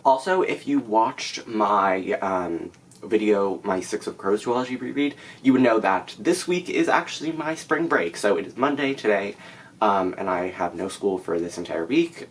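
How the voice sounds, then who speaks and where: A man's voice: fairly low pitch, animated, moderate pace, a little hiss or hum. A teenage boy with a stuffy nose from a cold, chatting casually to camera about his reading plans with many fillers. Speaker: book vlogger.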